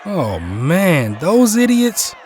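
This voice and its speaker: A man's voice: high voice, wildly swooping pitch, very slow, darkish tone, heavily acted. A man playing an anxious, defensive young friend of a fighter in an audio drama. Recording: faint crowd and noise behind.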